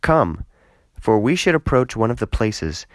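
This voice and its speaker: A man reads as a journeying master in a Bible story, polite and earnest as he explains himself. The voice is a low male one, recorded dry and close. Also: very animated, unhurried, no room sound.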